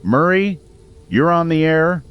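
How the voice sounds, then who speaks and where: An actor playing a community radio host during an emergency in an audio drama, measured, earnest and reassuring. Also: male voice, fairly high pitch, animated, clean tone. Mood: concerned, composed. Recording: dull lo-fi radio sound, faint noise.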